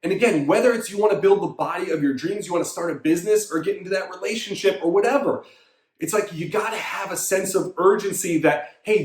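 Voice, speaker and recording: A man's voice: high voice, reverberant. A man in his thirties, a fitness coach and show host, delivering an emphatic, repetitive motivational monologue to his listeners. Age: 30s.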